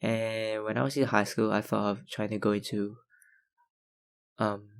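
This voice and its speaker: A young man, an ASMR YouTuber, chatting casually through viewer questions, hesitant with many fillers and soft laughs. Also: lowish voice, flat delivery, a little slow, creaky. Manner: hesitant, lots of ums and likes, soft laughs. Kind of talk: casual Q&A chat.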